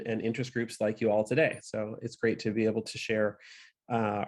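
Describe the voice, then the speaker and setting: A man's voice: fairly low pitch, a little mellow. A man from Missouri with an American Midwestern accent, giving an earnest, conversational online conference talk with fillers and restarts. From Missouri, USA. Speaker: conference speaker for a solar industry association. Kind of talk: online conference presentation with slides.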